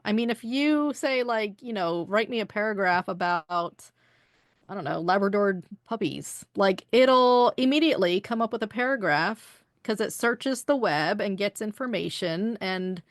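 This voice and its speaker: A woman's voice: medium pitch, no room sound, clean studio sound. A woman co-hosting a podcast in casual chat, easygoing, with frequent agreement and fillers. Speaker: podcast co-host.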